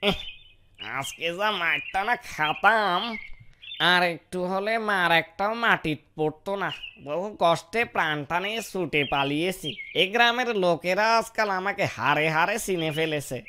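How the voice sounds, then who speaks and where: Woman doing a sneaky village thief guy for a cartoon, sharp bright voice, over the top, fake humble schemer. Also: on the low side, normal speed, slight background noise. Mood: scheming. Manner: exaggerated, muttering schemes and fawning pleas. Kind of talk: cartoon character acting.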